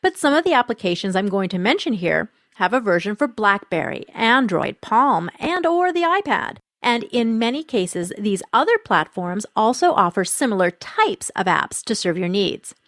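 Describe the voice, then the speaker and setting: A woman's voice: mid-range voice, big pitch swings, moderate pace, few pauses, fairly bright tone, dry recording, studio quality. A woman reading scripted narration for podcasts and radio, as a host and reporter.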